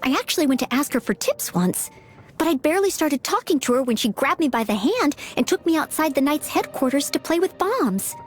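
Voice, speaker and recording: Game casting: devoted teenage maid, polite and eager, high lilting voice with lively swings, music underneath. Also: female voice, fairly quick. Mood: admiring, eager. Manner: polite, earnest, eager to please. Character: earnest, devoted young maid and aspiring knight, teenage girl. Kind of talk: video game character voice lines. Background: background music.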